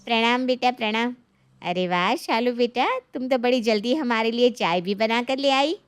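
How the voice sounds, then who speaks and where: A proud older auntie from a cartoon moral tale, played by a woman, with a fairly high voice. She talks in an affable, approving, matronly way. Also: moderate pace, smooth, no room sound, lightly acted. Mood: pleased. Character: proud older mother-in-law, affable visiting aunt. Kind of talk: animated moral story dialogue.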